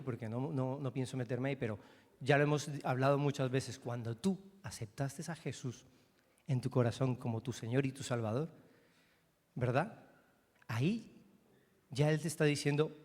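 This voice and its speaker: A man from Colombia with a Colombian accent, preaching a sermon as pastor, talking conversationally and asking the congregation questions. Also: fairly high pitch. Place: church hall. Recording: long reverb of a church hall.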